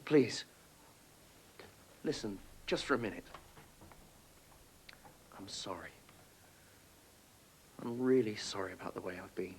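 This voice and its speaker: A man's voice: medium pitch, a little hiss or hum. A grumpy grieving father. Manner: gruff, then halting and apologetic.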